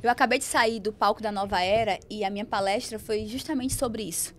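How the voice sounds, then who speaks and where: A woman's voice: mid-range voice, brisk, a little hiss or hum. A woman hosting an interview, affirming her guest and echoing his words back to him. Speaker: interview host. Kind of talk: interview conversation.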